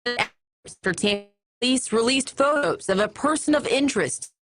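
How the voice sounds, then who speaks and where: A woman's voice: on the high side, lively intonation, moderate pace, noisy background. An American woman delivering a scripted television news report as a field reporter.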